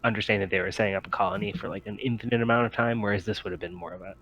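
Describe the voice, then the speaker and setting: Male tabletop game master, lower register, explanatory and improvised delivery, slightly lo-fi recording. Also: fairly even intonation, rapid-fire, fairly bright tone. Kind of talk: running a tabletop roleplaying game.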